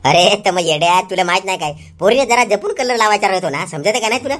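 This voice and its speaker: A man voicing a nosy, teasing young village friend in a comic cartoon, with blunt, animated questions and a rural Palghar Marathi accent. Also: high-pitched, fast. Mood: mock scolding. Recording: loud music behind the voice.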